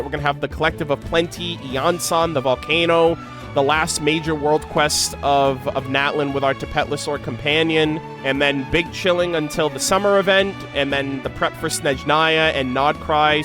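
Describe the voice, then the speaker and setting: Higher-pitched American man, casual game streamer. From United States. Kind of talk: casual commentary, reading lore aloud. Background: music.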